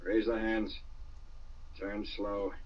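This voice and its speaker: A man playing a terse, commanding crime fighter in a 1940s-style radio drama, slightly husky, on a muffled old recording. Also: lowish voice, little pitch movement, takes it slowly, far from the mic. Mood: cold, threatening.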